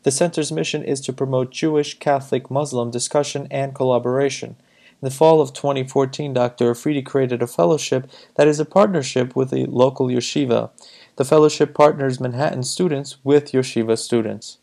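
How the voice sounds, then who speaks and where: Male reporter for scripted news voiceover, relaxed dark tone. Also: mid-range voice, fairly quick, vocal fry.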